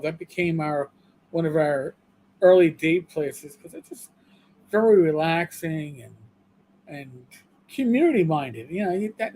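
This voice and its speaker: A man in his fifties hosts a livestream, his voice sitting fairly high. He talks at an unhurried pace, rambling and poking fun at himself, often stopping to restart a sentence. A faint hiss runs underneath. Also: a little mellow, poor audio. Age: 50s. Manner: rambling and self-deprecating, with halting restarts. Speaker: livestream co-host. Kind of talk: casual chat.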